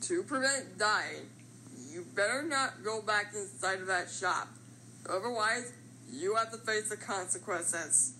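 A woman voicing a confident, playful living triangle from a cartoon, talking through things in a deliberate way. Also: mid-range voice, animated, sharp tone, a little hiss or hum, poor audio. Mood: admonishing. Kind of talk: animated character voice lines.

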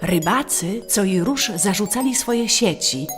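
A woman's voice: medium pitch, expressive, measured pace, music in the background, natural voice. A woman narrating river folk tales as the storyteller of an audio drama. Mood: measured storytelling. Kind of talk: scripted fairy tale narration for an audio drama.

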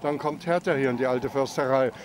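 A man's voice: mid-range voice, brisk. A man working as an interviewer, casually questioning fans outdoors by a football stadium on a handheld microphone. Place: outdoors among passing crowd outside a football stadium.

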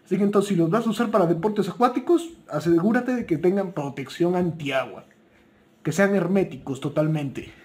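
Mexican guy with a pretty high voice, explaining stuff off the cuff, informal and chatty. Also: moderate pace, a little mellow. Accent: Mexican Spanish. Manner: chatty, informal, self-correcting. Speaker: video presenter giving buying tips. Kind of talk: casual unscripted product talk.

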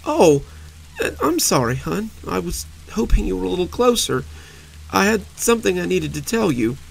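A man voicing a frightened young wife whose high, overly sweet voice keeps breaking as she holds back tears. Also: wildly swooping pitch, a little slow, creaky, bright, forceful tone, husky, heavily acted, poor audio. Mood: tearful.

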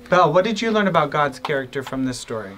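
A man's voice: on the high side, expressive, fast, fairly bright tone, distant mic, a little hiss or hum. A father leading his family's Bible time, encouraging and asking each person questions in turn. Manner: encouraging, asks questions one by one. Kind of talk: casual family discussion. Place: small home studio room, indoors.

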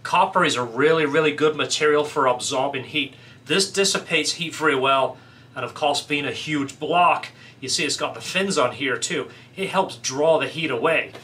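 A man's voice: a little high, normal speed, sharp tone, slightly rough. A middle-aged man casually explaining a product as a fabricator, in an informal, hands-on way. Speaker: fabricator and welder presenting a product. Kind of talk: casual workshop product demonstration. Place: small indoor workshop office.

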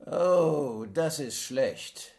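A man playing a gruff, slow-witted kidnapper in an amateur audio drama, talking in a plodding way. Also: a little high, expressive, darkish tone. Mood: baffled. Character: gruff male kidnapper, impatient, slow-witted, easily baffled.

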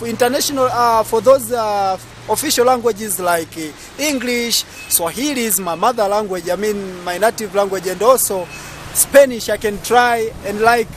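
Young tanzanian guy, highish voice, chatty, phone at arm's length outside, bit of street noise. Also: moderate pace. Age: young adult. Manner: chatty, eager, addresses viewers directly. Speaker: vlogger host. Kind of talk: casual street interview. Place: outdoors at a market stall area. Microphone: camera held at arm's length.

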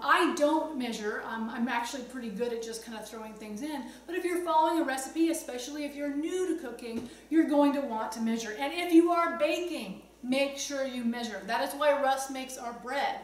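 A middle-aged woman giving a casual, conversational cooking demonstration to camera in her home kitchen, explaining things with chatty asides. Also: high voice. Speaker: cooking instructor, host. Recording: roomy echo, distant mic, some background noise.

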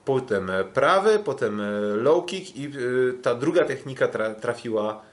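A man hosting a sports podcast, commenting on kickboxing bouts in a halting, hesitant way. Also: mid-range voice, expressive.

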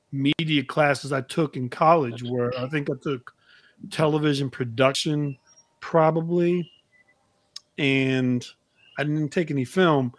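Halting, higher-voiced male interview host. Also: no room sound. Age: middle-aged. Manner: halting, roundabout phrasing. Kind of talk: casual chat. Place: indoor room, video call.

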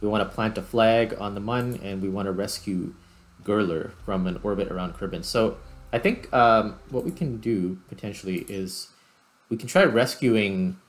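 A man's voice: on the low side, moderate pace. A man giving casual gameplay commentary as he hosts. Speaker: host, gamer. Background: background music.

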